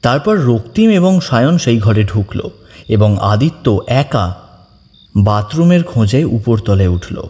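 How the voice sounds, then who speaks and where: A man's voice: medium pitch, very animated, takes it slowly, mellow. A man narrating a ghost story in dramatic, scripted style, with reverb on his voice.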